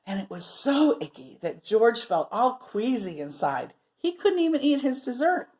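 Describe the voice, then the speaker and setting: Animated woman reading stories to children. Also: on the high side, normal speed. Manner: expressive, asks listeners questions. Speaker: children's storyteller. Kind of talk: animated read-aloud storytelling with asides to children.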